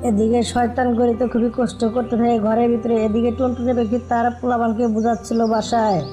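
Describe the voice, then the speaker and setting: A woman's voice: on the high side, little pitch movement, fast, warm tone. A woman narrating a cartoon story for children. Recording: music behind the voice.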